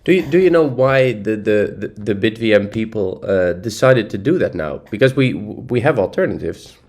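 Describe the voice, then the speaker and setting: A man's voice: on the low side, animated, normal speed, darkish tone. A man hosting a podcast interview and asking brief, direct questions. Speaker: podcast host.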